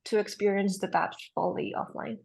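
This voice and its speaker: A young woman from Indonesia talks through her startup in a casual interview. She sounds upbeat and explanatory, sometimes pausing on an um. Her voice sits at a middle pitch. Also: fairly even intonation, vocal fry. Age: young adult. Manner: upbeat, explanatory, with frequent ums. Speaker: startup co-founder guest.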